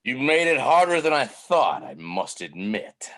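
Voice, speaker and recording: A man playing a gloating, aggrieved masked crime boss with a husky voice and drawn-out theatrical delivery in a comedy play reading. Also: medium pitch, very animated, fairly bright tone. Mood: gloating menace. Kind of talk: table read of a comedy play. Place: remote video call.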